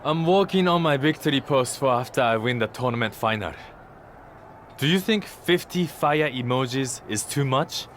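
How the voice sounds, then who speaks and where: A man's voice: medium pitch, expressive, normal speed, a bit bright, slight background noise, natural voice. An actor playing a vain, cocky young male wrestler obsessed with social media, smug and dismissive, in a video game cutscene. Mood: vain, oblivious. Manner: smug, dismissive, self-absorbed.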